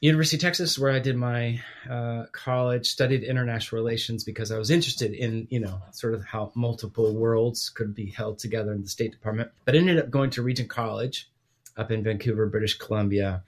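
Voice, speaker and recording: A man's voice: medium pitch, fairly even intonation, a little fast. A middle-aged man from Guatemala, a podcast guest chatting casually in a self-deprecating, rambling way with restarts. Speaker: podcast guest, theology professor. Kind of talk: casual chat.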